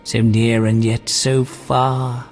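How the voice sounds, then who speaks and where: Smug, gravelly villain. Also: male voice, mid-range voice, flat delivery, slow, music in the background. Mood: smug menace. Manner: pompous, coldly threatening. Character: smug, menacing male villain, coldly articulate. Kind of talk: video game voice acting.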